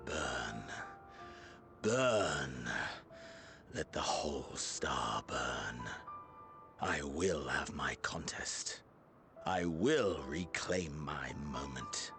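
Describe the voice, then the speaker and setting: An extremely low-voiced warrior villain for a game, brooding and nihilistic. Each phrase comes slowly, grave and heavy with menace. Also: male voice, expressive, music in the background, full character voice. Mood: menacing resolve. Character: brooding, nihilistic male warrior villain, bored yet obsessive. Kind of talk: dramatic video game cutscene acting.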